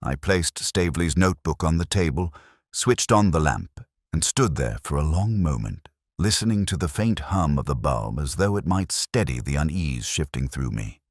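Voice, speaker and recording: A man playing a brooding, weary police detective, narrating a mystery in the first person with solemn, measured, literary phrasing. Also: very deep voice, lively intonation, no echo, studio quality. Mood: brooding, pensive.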